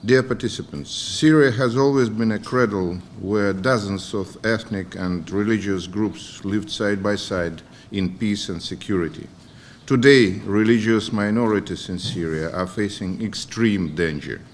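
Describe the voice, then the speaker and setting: A man, a diplomat reading out a formal address somewhat haltingly at a conference. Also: fairly low pitch, slight background noise. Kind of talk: formal read speech. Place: conference room.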